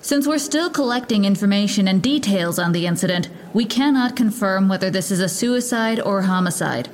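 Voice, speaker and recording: An actress with an American accent playing a stern, impatient police lieutenant, speaking formally and with authority as in an audio drama press briefing. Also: female voice, medium pitch, animated, normal speed, darkish tone, lightly acted. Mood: firm, official.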